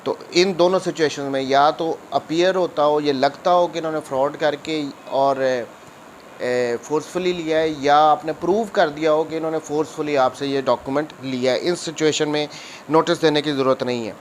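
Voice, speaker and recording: A man with a Pakistani accent, an advocate lecturing on a statute, who reads the legal clauses slowly and then explains them briskly. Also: a little high, steady tone, a little hiss or hum.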